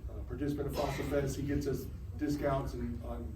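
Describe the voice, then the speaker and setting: A man hosting a club meeting, introducing the guest speaker in a halting way with many fillers. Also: medium pitch, level tone. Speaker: club host. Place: meeting room. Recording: echoey room, distant mic, noise.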